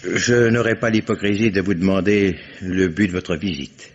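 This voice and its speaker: An actor playing a fussy, eloquent lawyer under suspicion who speaks in elaborate, slightly pompous phrases with hesitations. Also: male voice, low-pitched, fairly even intonation, normal speed, a little hiss or hum, natural voice. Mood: defensive. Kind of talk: 1950s radio drama acting. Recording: dull lo-fi archive radio recording.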